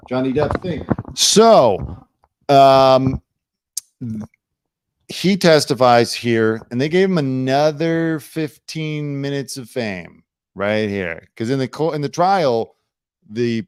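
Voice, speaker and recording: A man hosting a casual talk show, telling stories in a relaxed, chatty way. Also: medium pitch, slow, clear, resonant tone, no echo. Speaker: host. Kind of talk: casual chat.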